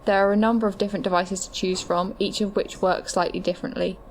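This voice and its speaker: A woman's voice: mid-range voice, fairly even intonation, fast talker, a little hiss or hum, natural voice, poor audio. A woman playing a matter-of-fact medical adviser, reading scripted explanations in an audio drama. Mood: informative.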